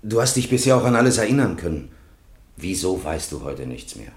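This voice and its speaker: An earnest lay defender in a radio courtroom play. His low voice rises and falls widely as he pleads and reproaches. The room is echoey. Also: sing-song, fairly quick. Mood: earnest, disappointed. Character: earnest, exasperated male workmate acting as lay defender. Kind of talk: German radio crime drama acting. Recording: roomy, echoing courtroom sound.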